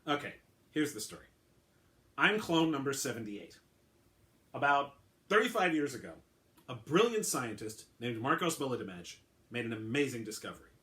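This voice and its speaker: A man's voice: medium pitch, sing-song, moderate pace, pauses often, sharp tone. A man doing an earnest, odd male clone explaining himself. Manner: explains patiently, corrects himself mid-sentence. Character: eccentric male clone, earnest, oddly formal.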